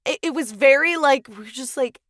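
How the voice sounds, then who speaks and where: A young woman co-hosting a podcast, chatting casually and animatedly, acting out quotes in quick asides. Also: sits high, fairly bright tone, dry recording. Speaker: podcast co-host. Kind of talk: casual chat.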